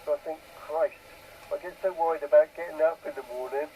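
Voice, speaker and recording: A man's voice: a little high, fairly even intonation, quick pace, warm tone, rough recording. Man rambling on CB radio. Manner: rambling, repeats phrases. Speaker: CB radio operator. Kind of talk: casual radio chat. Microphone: two-way radio microphone. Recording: CB radio transmission with background noise.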